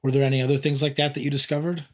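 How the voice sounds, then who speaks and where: A man's voice: mid-range voice, little pitch movement, rapid-fire. A male podcast host with a mid-range voice asks long, meandering questions. His tone is friendly and casual, and his talk is full of fillers. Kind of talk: casual interview conversation.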